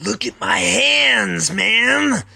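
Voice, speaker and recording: A man's voice: high voice, lively intonation, drawn-out words, creaky, bright, forceful tone, dry recording, voice pushed up, exaggerated voice. A man voicing an eager, spaced-out mystical fellow who pleads insistently in a story reading. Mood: excited, insistent.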